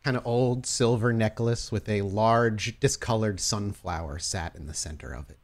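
A man's voice: on the low side, normal speed. A man narrating a tabletop roleplaying game as storyteller, setting scenes in descriptive detail.

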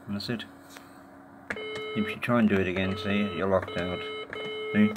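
A man giving a casual, halting hands-on demonstration, muttering to himself in an informal way. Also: sits low, steady tone. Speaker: tech video maker. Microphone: handheld phone or camera held over the device. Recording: noisy amateur handheld camera recording.

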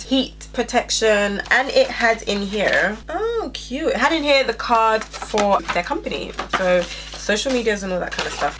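Stuffy-nosed woman chatting brightly. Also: a little high, slight background noise. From England. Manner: chatty, upbeat, addressing viewers directly. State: congested, stuffy-nosed from a cold. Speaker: beauty vlogger. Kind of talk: casual product review. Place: small indoor room at home.

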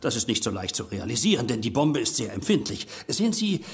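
An actor playing an excitable, pedantic male scientist in an audio drama, hesitant and fussy. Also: medium pitch, brisk. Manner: hesitant, pedantic, stammering asides.

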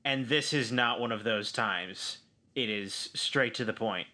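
A man describing his character in a tabletop role-playing game, feeling his way haltingly and repeating words. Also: on the low side, pushed and bright. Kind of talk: improvised description of a character in actual play.

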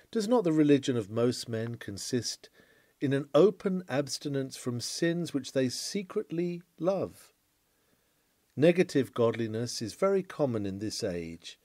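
A man reading an old sermon aloud in a formal, declamatory style, with emphatic phrasing and deliberate pauses. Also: medium pitch, mellow, no echo, studio quality. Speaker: reader of a historic sermon. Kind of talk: oratorical sermon read aloud.

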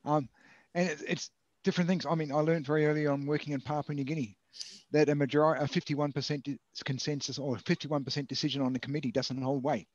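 A man's voice: a little high, little pitch movement, fast, darkish tone, dry recording. An older man moderating a video call through a headset, enthusiastic and repeating his words. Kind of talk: casual chat. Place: home room with bookshelves. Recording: dull lo-fi video call audio.

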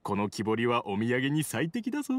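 An actor playing a friendly young market shopkeeper in an anime-style game, giving an eager sales patter. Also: male voice, medium pitch, wildly swooping pitch, brisk, no echo. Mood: welcoming, selling.

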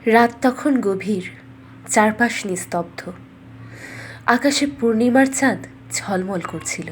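A woman storyteller narrating a scripted fairy tale in a measured, dramatic way. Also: a little high, slight background noise.

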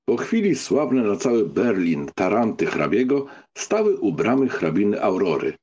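A man narrating a literary audiobook in an expressive, scripted storytelling style. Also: fairly low pitch, normal speed, breathy edge, lo-fi sound.

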